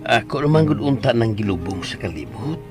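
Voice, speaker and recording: An actor playing a flustered, wistful middle-aged father in a radio drama, with a Visayan Philippine accent and an expressive delivery. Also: medium pitch, fairly quick, natural voice. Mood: wistful, confessing. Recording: radio drama with music underneath.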